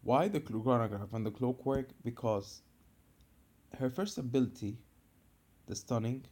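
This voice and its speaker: A man from Egypt with an Egyptian accent, explaining a game character build in a casual fan video, hesitant with many fillers and restarts, and suddenly loud when stressing a point. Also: mid-range voice, unhurried. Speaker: gamer making a fan video.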